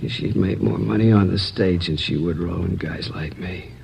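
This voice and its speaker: Beat-up robbery victim in a hospital bed, deep gravelly voice, old radio play. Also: male voice, moderate pace, darkish tone, heavily acted. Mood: weary. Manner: weak, worried, chatty. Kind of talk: 1950s radio drama acting. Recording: muffled old radio recording.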